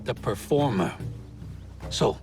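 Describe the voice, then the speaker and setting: A man's voice: mid-range voice, animated, slow, music in the background. A man playing a wry, joking companion in a feudal Japan video game, with a droll, musing delivery. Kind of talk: video game cutscene acting.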